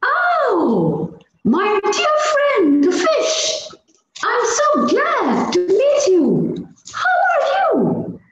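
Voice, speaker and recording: A woman's voice: very high-pitched, huge pitch swings, drawn-out words, background noise, exaggerated voice. A woman voicing Kitty, a cheerful, friendly little girl, talking in a sing-song way over a video call. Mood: affectionate. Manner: sing-song, bright and greeting. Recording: echoey dull video-call audio.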